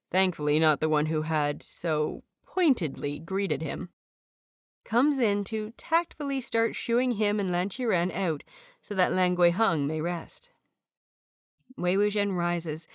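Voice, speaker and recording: A woman's voice: fairly low pitch, smooth, no room sound. Woman reading a story, dry close sound. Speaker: narrator. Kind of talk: fanfiction audiobook reading.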